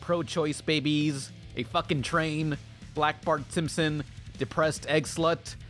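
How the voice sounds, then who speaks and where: A man's voice: on the high side, steady tone, takes it slowly, a bit bright, soft background music. A man narrating a scripted review as host, with sarcastic, profane humor.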